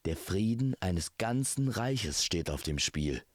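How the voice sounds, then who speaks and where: A man's voice: low voice, sing-song, slow pace, long reverb tail, full character voice. A man playing an earnest, apologetic young male angel in an audio fairy tale. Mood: apologetic, urgent.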